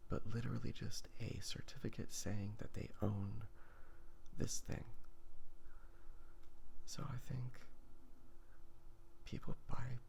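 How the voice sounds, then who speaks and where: A man talking softly in a breathy half-whisper, rambling casually in an ASMR style, hesitant and often trailing off. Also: medium pitch, fairly even intonation, takes it slowly, pauses often. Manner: hesitant, trailing off, thinking aloud. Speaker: ASMR video creator and artist.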